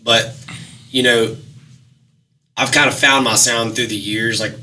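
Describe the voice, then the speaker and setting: A raspy-voiced young man talking casually as an interview guest, rambling with fillers and restarts. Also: medium pitch, fairly even intonation, a little slow, bright, forceful tone, distant mic, a little hiss or hum, low-quality recording. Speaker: musician guest.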